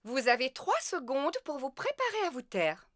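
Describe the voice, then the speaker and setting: A woman playing a patient, gently wry mummy pig in a French children's cartoon, with a motherly manner. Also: high-pitched, big pitch swings, moderate pace, dry recording, clean studio sound.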